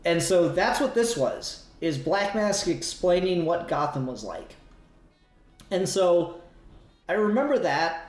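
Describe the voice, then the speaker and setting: A man's voice: high voice, very animated, slow pace, roomy sound, soft background music. A man talking casually and informally in a podcast discussion, swearing freely. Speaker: podcast host.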